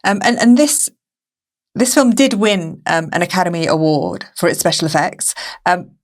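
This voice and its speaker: Lowish-voiced woman hosting a discussion. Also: lively intonation, normal speed. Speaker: podcast host. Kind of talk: casual discussion moderating.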